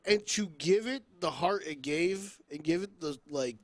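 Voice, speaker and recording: A man's voice: a little high, unhurried, crowd noise. A man co-hosting a podcast, giving blunt opinions in casual, slangy talk full of "like". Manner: slangy, blunt, heavy use of "like". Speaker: podcast co-host. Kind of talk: casual opinionated film chat.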